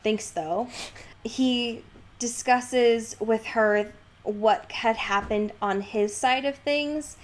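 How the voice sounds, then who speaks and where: A woman's voice: fairly high pitch, very slow. A woman co-hosting a podcast, discussing a book in a casual, slangy, emphatic way with exasperated asides. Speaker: podcast co-host.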